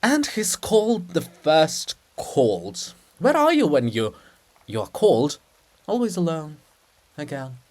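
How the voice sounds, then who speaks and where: A haughty princess of stone, as acted by a reader, exasperated and long-suffering. The delivery is melodramatic and imperious, swinging widely in pitch as in a stage farce. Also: big pitch swings, a little slow, darkish tone. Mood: irritated pleading. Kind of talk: dramatic stage reading of a farce.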